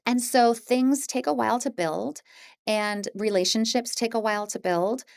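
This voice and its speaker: A woman's voice: on the high side, moderate pace, gravelly, a little mellow, no echo, studio quality. A woman presenting a course in a casual, conversational style, with an informal, encouraging and self-deprecating manner. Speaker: audiobook narrator teaching an introductory course.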